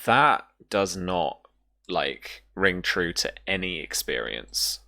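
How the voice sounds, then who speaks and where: Very low-pitched, bright-voiced game master. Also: big pitch swings, slow pace, creaky, soft background music. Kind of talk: improvised tabletop game narration.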